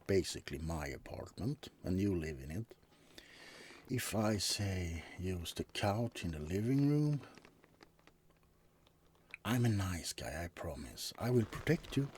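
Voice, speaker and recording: A man's voice: low-pitched, lively intonation, measured pace, long pauses, a little mellow, a little hiss or hum. A man playing a laid-back, wry, centuries-old vampire roommate in an intimate, rambling ASMR roleplay. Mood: friendly, persuasive. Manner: hesitant, rambling, intimate, drifting into whispers. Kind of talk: ASMR roleplay monologue addressed to the listener.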